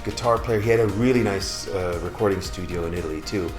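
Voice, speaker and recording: A man who grew up in Switzerland, telling lively stories as an interview guest and mimicking sounds along the way. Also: deep voice, fast talker. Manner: animated, imitates sounds and voices while telling stories. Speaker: singer-songwriter guest. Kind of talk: casual storytelling interview. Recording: echoey room with guitar music behind.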